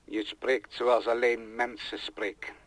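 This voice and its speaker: An actor playing a cold, domineering factory director in an older radio play, curt, dismissive and authoritative. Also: male voice, mid-range voice, level tone, slow pace, a bit bright.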